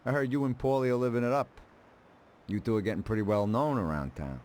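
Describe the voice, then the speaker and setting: A man playing a calm, fatherly mob adviser in a crime video game. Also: mid-range voice, fast talker, natural voice. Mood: concerned. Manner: paternal, advising. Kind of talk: measured dramatic dialogue.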